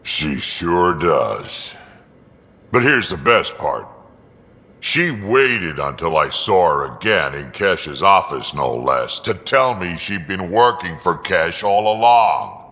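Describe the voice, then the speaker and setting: An actor playing an ancient, gruff alien mercenary and proud grandfather in a video game, blunt, dry and salty, with a gravelly rumble. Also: animated, slow pace, pushed and bright, in a large hall, full character voice. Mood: proud, amused. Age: older. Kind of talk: video game character dialogue.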